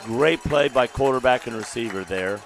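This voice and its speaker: A man with a deep, gravelly voice, calling a live local high school football game in a folksy manner while recovering from a lost voice. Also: normal speed. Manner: folksy, excitable on big plays. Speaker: play-by-play announcer. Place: outdoor stadium press box. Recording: outdoor stadium broadcast.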